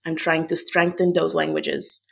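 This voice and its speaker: This is a young woman from Hungary who speaks English. She answers questions in a measured, reflective way. She often pauses with "um" and restarts her sentences. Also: deep voice, level tone, gravelly. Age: young adult. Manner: thoughtful, with frequent "um" and restarts. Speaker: podcast guest. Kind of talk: conversational interview answers.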